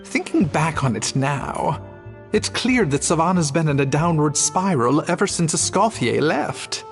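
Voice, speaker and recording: Concerned male co-worker: fairly high voice, pondering game dialogue over music. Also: expressive, unhurried. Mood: pensive. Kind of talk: animated game voice acting. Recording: music behind the voice.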